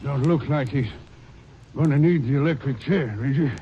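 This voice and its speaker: An actor playing a rough country man in an old radio drama, talking with an urgent drawl. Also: mid-range voice, normal speed, highs cut off, lightly acted. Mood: wry. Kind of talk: 1950s radio drama acting. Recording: noisy old radio transcription.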